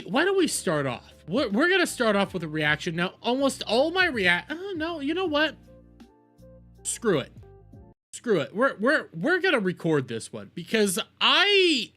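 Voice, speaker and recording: A man's voice: sits high, pushed and bright. A man hosting a casual live reaction stream, talking bluntly with swearing and repeating phrases for emphasis. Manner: blunt, profane, opinionated.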